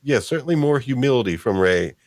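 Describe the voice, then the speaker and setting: A man's voice: mid-range voice, lively intonation, a little fast, darkish tone, no room sound, studio quality. A man hosting a casual live-stream discussion, chatting in a rambling, fan-like way. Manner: chuckling, rambling fan talk. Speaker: host.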